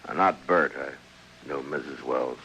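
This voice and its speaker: An actor with an American accent playing a stern, steady frontier marshal in an old-time radio western, terse and unhurried. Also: male voice, very deep voice, creaky, slightly rough, exaggerated voice, rough recording. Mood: calm, reassuring. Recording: old radio recording, faint hiss.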